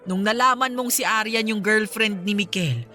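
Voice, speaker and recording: A woman acts out a warm, easily astonished middle-aged mother in a radio drama. She chats emphatically in a bright, mid-pitched voice over faint music. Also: normal speed, lightly acted. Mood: relieved, sincere. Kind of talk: conversational radio drama acting.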